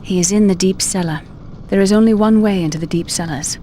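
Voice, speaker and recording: A woman playing a gentle, helpful wood elf ally in a video game, speaking calmly and carefully. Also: mid-range voice, brisk, a little hiss or hum, close to an everyday voice. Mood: concerned. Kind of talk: video game cutscene acting. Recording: clear music under the voice.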